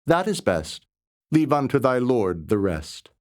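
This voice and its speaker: A man reciting narrative poetry in a measured, dramatic style, with solemn, reverent phrasing and deliberate pauses. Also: mid-range voice, very animated, darkish tone. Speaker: poetry reader.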